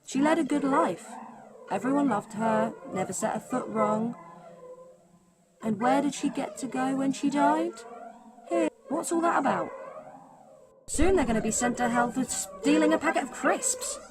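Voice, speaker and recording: A woman's voice: high voice, unhurried. A woman playing Death in an audio drama as a sweary, blunt, exasperated afterlife administrator, talking conversationally. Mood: indignant. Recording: clearly noisy background.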